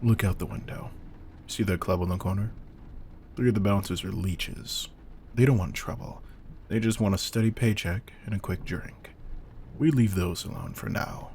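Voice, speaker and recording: A man playing a veteran vampire hunter in a slow-burn audio roleplay, intense and commanding, with deliberate, often breathy delivery and a gravelly voice. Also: low-pitched, a little mellow, a little hiss or hum. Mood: calm, commanding.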